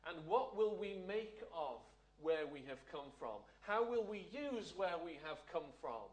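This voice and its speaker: A man's voice: high-pitched, big pitch swings, measured pace. A man preaching a sermon, often asking the listeners rhetorical questions. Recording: echoey room.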